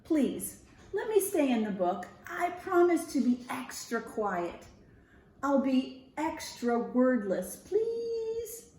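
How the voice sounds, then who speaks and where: A woman voicing an eager, chatty, naive little mouse from a picture book, excitable and chattering. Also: high voice, takes it slowly, full character voice. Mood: pleading. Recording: roomy echo.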